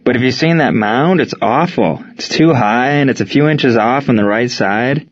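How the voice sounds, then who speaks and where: A man voicing a fussy, complaining baseball pitcher in an audiobook. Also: normal speed, highs cut off, lightly acted. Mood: grumbling.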